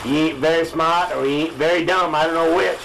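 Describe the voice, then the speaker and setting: Man playing a high-pitched crusty old fishing skipper. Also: steady tone, fairly quick, gravelly, noisy background. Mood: excited. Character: crusty old fisherman skipper, gruff, bossy, scornful. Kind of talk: amateur radio drama spoof acting.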